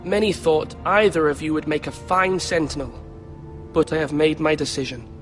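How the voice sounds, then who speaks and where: Man voicing a high-voiced, resolute young lord. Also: moderate pace, soft background music, lightly acted. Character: young boy lord trying to rule firmly. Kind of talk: dramatic video game voice acting.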